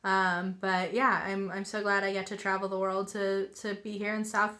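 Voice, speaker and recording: A woman's voice: mid-range voice, level tone, normal speed, pushed and bright. A young woman from Washington, D.C. with an American accent, chatting as a podcast guest in an easygoing way and laughing along. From Washington, D.C., United States. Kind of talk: casual chat.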